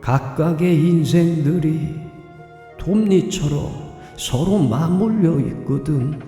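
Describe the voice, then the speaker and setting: Slow, echoing otherworldly old man played by a man. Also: fairly high pitch, warm tone. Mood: calm, solemn. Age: older. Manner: slow, measured, philosophical. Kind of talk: audio drama acting. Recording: long cavernous reverb, music behind.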